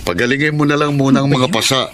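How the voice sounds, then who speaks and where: A man's voice: medium pitch, fast talker, a little hiss or hum, lightly acted. A man playing a cruel, menacing uncle in a Filipino radio drama, taunting and repeating his threats. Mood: gruff, threatening. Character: cruel bullying uncle, menacing, mocking brute. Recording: loud dramatic music behind.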